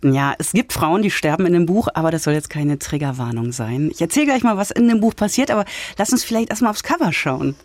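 A woman's voice: fairly low pitch, expressive, fast talker, hardly any pauses, a little mellow, dry recording. A woman hosting a radio book segment, explaining novels in an articulate, conversational way as a literary critic.

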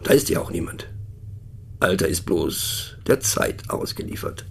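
An actor playing a middle-aged, pensive and mocking narrator in a radio play monologue, talking haltingly and wryly as if to a listener. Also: male voice, low voice, animated, a little hiss or hum, full character voice. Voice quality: husky, gravelly. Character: musing, mocking male narrator pondering abstract words.

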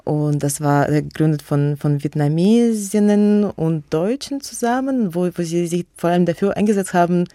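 A woman's voice: lowish voice, moderate pace, hardly any pauses, warm tone, no room sound, studio quality. A woman with a Russian accent, a native Russian speaker, answering radio interview questions about her museum work in a hesitant way, with many fillers and restarts. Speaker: museum curator and guest.

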